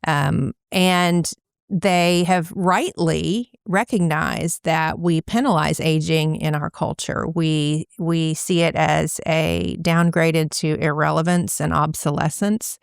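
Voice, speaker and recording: Middle-aged woman, author guest, gravelly yet smooth voice, articulate, dry close sound. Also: deep voice, fairly even intonation, slow pace, no room sound. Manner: articulate, thoughtful, speeds up when explaining. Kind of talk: casual interview chat.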